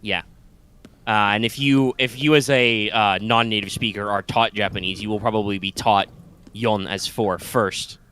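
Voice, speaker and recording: Bright-voiced man rambling over a game stream. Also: on the low side, steady tone, moderate pace, clean tone. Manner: rambling explainer with frequent "like" and "uh", casual swearing. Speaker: co-host of a live gaming stream. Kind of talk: casual live-stream chat over gameplay.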